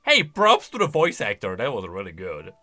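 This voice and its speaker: A man's voice: lowish voice, huge pitch swings, normal speed, sharp tone. A man giving casual, enthusiastic reaction commentary, explaining game lore as he goes.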